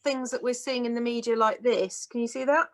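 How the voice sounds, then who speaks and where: A woman's voice: on the high side, fairly even intonation, fairly quick. A woman speaking as a guest at an online talk, emphatic and indignant.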